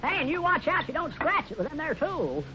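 A naive, bumbling American cowboy sidekick, very high and swooping, with a folksy drawl. He rambles good-naturedly in 1950s radio serial style, on a muffled, noisy old recording. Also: sing-song, a little fast. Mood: naive, pleased.

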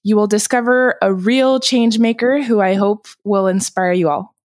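A woman's voice: medium pitch, normal speed, darkish tone, dry recording, studio quality. A woman hosting a podcast, reading a scripted introduction and putting questions to a guest. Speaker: podcast host.

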